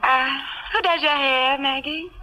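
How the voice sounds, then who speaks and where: High-voiced, affected glamour actress. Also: female voice, a little slow, bright, forceful tone. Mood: sweetly catty. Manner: affected, cooing, theatrical. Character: affected, catty glamorous stage actress, gushing and insincere. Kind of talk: 1940s radio comedy acting. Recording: noisy old radio broadcast.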